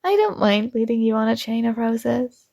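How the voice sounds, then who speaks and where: A woman voicing a possessive young princess in an ASMR roleplay, talking in a soft, slow, coaxing and teasing way. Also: fairly high pitch, expressive, vocal fry, dry recording, clean studio sound. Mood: sweetly commanding.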